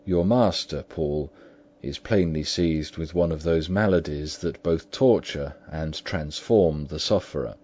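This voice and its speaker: A man's voice: rumbling low, a little slow, darkish tone. A man doing a formal, reasoning lawyer. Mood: deliberate. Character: measured, reasoning gentleman lawyer, middle-aged man. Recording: dull lo-fi recording.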